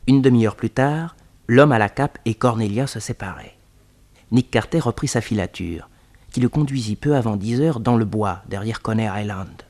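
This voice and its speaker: A male narrator of a radio detective serial. His voice sits fairly low, and he tells the story in a scripted, dramatic style. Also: normal speed, darkish tone.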